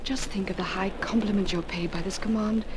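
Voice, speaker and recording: A woman's voice: medium pitch, fairly even intonation, a little fast, natural voice. A woman playing an elegant, proud and devoted naval wife in an old radio drama, with a tender, refined delivery. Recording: vintage radio recording with faint noise.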